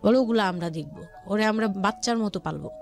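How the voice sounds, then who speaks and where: A woman's voice: medium pitch, quick pace, darkish tone, soft background music. A woman with a Bangladeshi accent telling her personal story conversationally as a guest, often voicing what relatives said. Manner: quotes relatives' words as she narrates.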